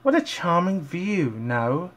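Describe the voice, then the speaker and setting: A man's voice: on the high side, very animated, slow, a bit bright, pitched above the performer's own voice, full character voice. A man voicing a refined, dramatic female cartoon pony in a genteel, affected manner.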